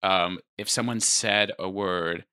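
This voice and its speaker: A man's voice: deep voice, fairly even intonation, slow, bright, forceful tone, smooth, no echo, clean studio sound. A man in his twenties, a stand-up comedian, chatting casually and rambling with lots of filler words. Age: 20s. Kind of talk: casual conversation.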